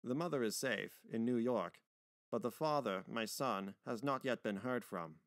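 Man voicing an earnest, emotional old gentleman. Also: medium pitch, moderate pace, no room sound, studio quality, natural voice. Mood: mournful. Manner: earnest, formal.

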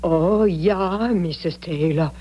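A woman's voice: lowish voice, takes it slowly. An actress playing an emotional, headstrong woman in a 1970s radio drama. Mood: defiant, insistent. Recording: muffled old radio recording, faint noise.

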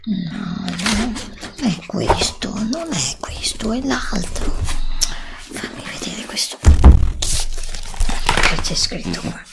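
A curious, stubborn young student, acted out by a woman in an ASMR library roleplay. She whispers and mutters as she browses, slow and unhurried, with a melody that moves around a lot. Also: medium pitch, very animated, gravelly, full character voice. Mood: absorbed, muttering. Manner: muttering to herself, chatting to an unseen companion.

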